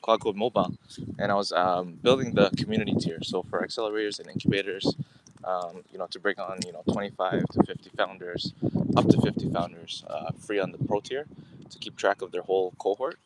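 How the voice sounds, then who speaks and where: A man's voice: low voice, fairly quick. A man from Canada, chatting casually to viewers on a phone livestream while walking his dog outdoors, full of fillers. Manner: rambling, many fillers, chats with viewers. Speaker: livestream host. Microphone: phone camera held while walking. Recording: loud wind noise outdoors.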